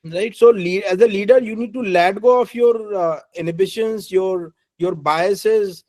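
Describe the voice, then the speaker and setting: A man with an Indian English accent, a guest speaker and engineering head giving career advice with a loud, emphatic delivery, slipping between English and Hindi and often checking in with "right?". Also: high voice, unhurried, no echo.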